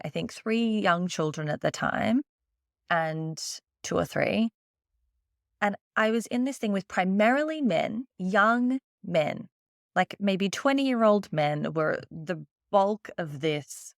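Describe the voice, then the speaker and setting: A woman's voice: mid-range voice, gravelly, no echo, studio quality. An Australian woman guesting on a podcast, chatting casually and acting out her own thoughts in quotes. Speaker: podcast guest, agency owner. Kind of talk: casual interview chat.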